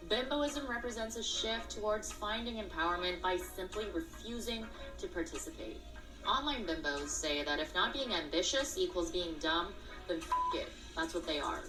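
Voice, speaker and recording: A woman journalist narrates a scripted news segment in a measured, polished voice. She sits a little back from the mic, her voice lowish, with faint music running underneath. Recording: distant clip with music underneath.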